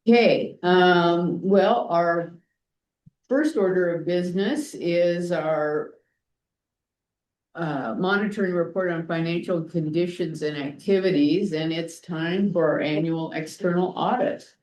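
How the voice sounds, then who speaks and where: A woman's voice: lowish voice, slow, a little mellow, slight background noise. Polite woman chairing a board meeting. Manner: polite and procedural. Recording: echoey meeting room, mic far off.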